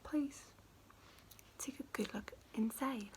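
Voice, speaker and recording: A woman's voice: a little high, slow, background noise. A woman voicing a sly, grinning shark in a whispered storybook reading. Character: grinning sly shark luring you closer.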